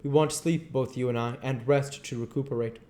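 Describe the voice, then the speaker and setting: A man voicing an elderly foreign professor, speaking in earnest, halting phrases at a raised pitch. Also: fairly even intonation, dark tone, natural voice.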